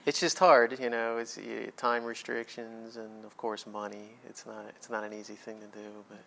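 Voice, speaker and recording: A man from New Jersey in his thirties, answering interview questions in an easygoing, measured way. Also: on the low side, little pitch movement, creaky, a bit bright, low-quality recording. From New Jersey, USA. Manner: easygoing, measured, some hesitations. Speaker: guest.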